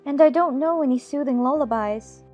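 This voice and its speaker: A woman's voice: sits high, normal speed, a little mellow, muffled recording, faint music behind, close to an everyday voice. A woman voicing a young storybook girl, earnest and fussy, easily puzzled and impatient. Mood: perplexed. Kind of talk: dramatized audiobook character acting.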